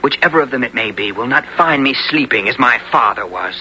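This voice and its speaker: Lively young gentleman adventurer, bright forceful voice, earnest old-fashioned speech, radio play. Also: medium pitch, a little fast, a little hiss or hum. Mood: urgent, resolute. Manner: earnest, theatrical, archaic formal speech. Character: bold, vengeful young English gentleman hero. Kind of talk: 1970s radio drama acting and first-person narration. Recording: dull 1970s radio broadcast.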